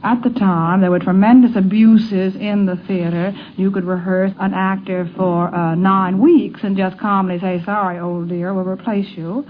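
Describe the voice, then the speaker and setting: A middle-aged woman telling informal anecdotes as an interviewee, animated but halting. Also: mid-range voice. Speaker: interviewee recalling her mother. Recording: narrow vintage radio, faint noise.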